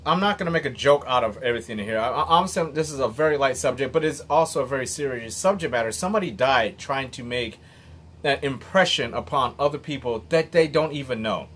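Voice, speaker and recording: Husky, blunt man in his late thirties. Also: medium pitch, fairly bright tone. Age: 30s. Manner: earnest, blunt and emphatic, with occasional swearing. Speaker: motivational vlogger. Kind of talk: unscripted commentary monologue.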